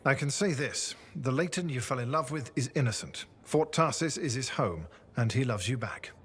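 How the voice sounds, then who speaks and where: An actor playing a quiet, reflective man with a troubled past in a video game. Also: medium pitch, expressive, moderate pace, natural voice. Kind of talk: video game cutscene acting.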